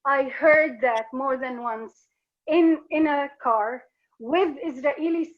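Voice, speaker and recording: Brief: woman from Palestine, high voice, earnest account, muffled lo-fi sound. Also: slow. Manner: earnest, repeats and restarts phrases. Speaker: speaker. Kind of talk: personal account in a dialogue session.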